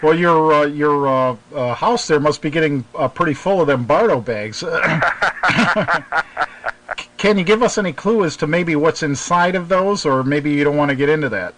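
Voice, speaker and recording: A man's voice: on the high side, moderate pace, fairly bright tone, poor audio. A man hosting a live internet radio show in a casual, hesitant, informal way.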